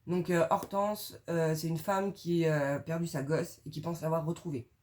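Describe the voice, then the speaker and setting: A woman's voice: sits low, fairly even intonation, moderate pace, a little mellow. Chatty young woman recommending books. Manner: chatty, slangy, many fillers. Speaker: book reviewer. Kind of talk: casual video book recommendations.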